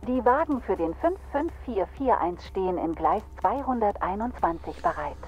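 A woman's voice from machine translation software, reading out radio messages in an even, read-out way. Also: fairly high pitch, normal speed, faint music behind.

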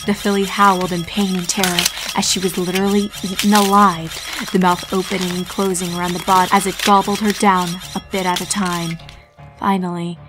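A woman reading a horror story aloud as a scripted, dramatic narration. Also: medium pitch. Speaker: fan fiction reader and narrator. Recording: music bed under the voice. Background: background music.

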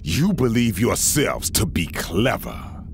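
A man's voice: lowish voice, very animated, slow, creaky, slight background noise, full character voice. A man playing a zealous, grandiose villain in an audio drama, proud and menacing. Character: zealous villain, proud chosen servant of dark power.

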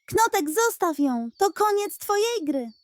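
Woman as an earnest male pig detective, raised pitch. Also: sing-song, measured pace, no echo, exaggerated voice. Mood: stern. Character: earnest male piglet detective, kindly but firm.

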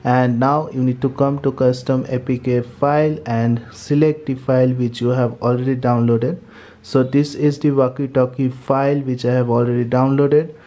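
A man's voice: mid-range voice, dark tone. A man narrating a step-by-step tech tutorial in a methodical, repetitive way.

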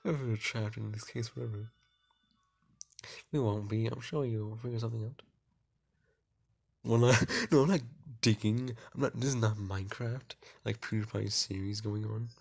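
A man's voice: fairly low pitch, normal speed, creaky, long pauses, darkish tone. A man hosting a casual game playthrough, rambling and stumbling as he reads aloud. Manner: rambling, stumbles over text while reading. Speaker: gaming video host.

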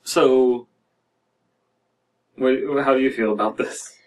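A man in his thirties reading and reacting to stories as a podcast host, in a halting, wry, casual way. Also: mid-range voice, fairly even intonation, far from the mic. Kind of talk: casual commentary and story reading. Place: small dim room indoors.

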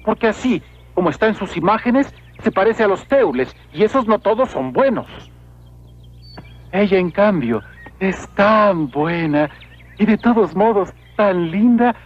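High-voiced humble Mexican peasant. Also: male voice, animated, measured pace. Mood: cheerful, earnest. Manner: simple, earnest, deferential. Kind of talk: animated film voice acting. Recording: dull lo-fi old film soundtrack.

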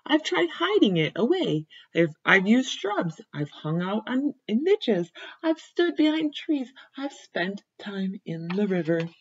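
A woman reading as a self-conscious male giraffe who complains gloomily about his long neck, with a slightly husky voice. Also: medium pitch, big pitch swings, measured pace, highs cut off, exaggerated voice. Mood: self-pitying.

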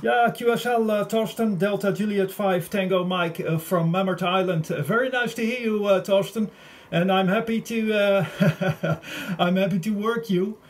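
Male radio hobbyist, high voice, friendly and hesitant, clear background noise. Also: normal speed. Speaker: amateur radio operator. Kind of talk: casual radio contact chat.

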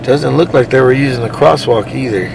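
A man's voice: mid-range voice, brisk, gravelly. A man hosting a livestream, chatting casually and in slang to his viewers as he covers police activity. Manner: slangy, addressing viewers as guys. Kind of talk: casual live commentary to viewers. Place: outdoors at night roadside, then in a car. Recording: noisy outdoor street and car ambience.

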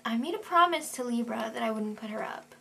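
A woman's voice: a little high, lively intonation, fairly quick, gravelly, bright, forceful tone, slightly rough, exaggerated voice. A woman playing a firm, unimpressed female reality show contestant in a comic skit, matter-of-fact in her refusals.

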